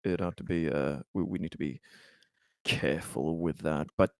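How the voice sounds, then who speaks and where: Man, low-pitched, casual tabletop player, loose game chat, dry sound. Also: normal speed, a little mellow, no echo.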